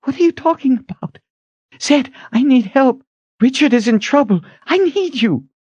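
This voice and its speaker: A man's voice: very high voice, expressive, moderate pace, darkish tone, slightly rough, dry recording, highs cut off, pitched above the performer's own voice, heavily acted. A man voicing a frantic young woman who pleads urgently and breathlessly in a dramatic audiobook reading. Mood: frantic pleading.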